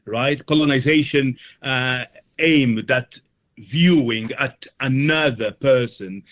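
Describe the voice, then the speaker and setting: A man speaking as an interview guest, explaining things in formal, academic wording with halting delivery. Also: medium pitch, a bit bright. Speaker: guest, reverend and mission organisation officer. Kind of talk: considered explanatory interview answers.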